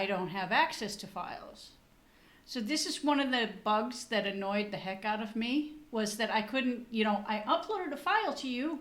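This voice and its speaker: Bright-voiced woman in an echoey room. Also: a little high. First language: Danish. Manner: chatty, trailing off as she explains. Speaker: podcast co-host. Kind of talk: casual tech chat.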